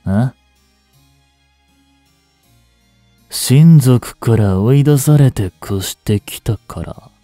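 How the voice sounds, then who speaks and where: An actor playing a rough young street punk working as a butler in an audio drama, blunt and teasing, with a grudgingly tender side. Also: male voice, medium pitch, takes it slowly, mellow, no room sound, faint music behind, close to an everyday voice. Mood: grumbling. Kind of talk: intimate Japanese audio drama acting addressed to the listener.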